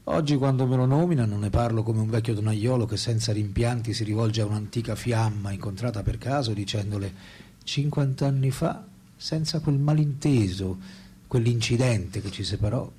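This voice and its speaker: A man's voice: lowish voice, moderate pace, dark tone, husky. An actor in a radio play voicing a French philosopher and writer, ironic, reflective and self-deprecating, telling his thoughts theatrically. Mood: wry, animated. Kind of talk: radio play dialogue and monologue.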